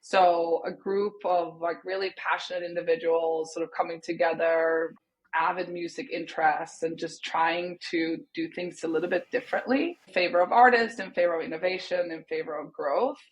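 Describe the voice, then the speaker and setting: A Swedish-born woman in her thirties, a native Swedish speaker, speaks on a video call from a room at home. Her voice sits fairly low for a woman. She talks thoughtfully and softens her points with "sort of" and "kind of". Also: steady tone, normal speed, poor audio. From Sweden. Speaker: guest. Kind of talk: casual interview talk.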